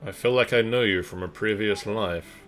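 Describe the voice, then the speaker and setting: A man with a fairly low, bright voice comments on a game stream. He is wry and teasing, dropping casual jokes. Soft game music runs behind him. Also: normal speed.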